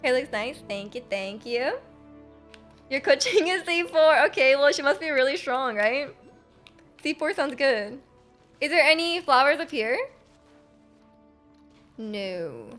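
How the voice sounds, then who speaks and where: A woman's voice: high-pitched, animated, unhurried, pauses often, fairly bright tone, faint music behind. A woman chatting casually with viewers while she plays a game on a live stream, rambling and repeating herself in a giggly, hesitant way. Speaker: game livestreamer. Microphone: close streaming microphone.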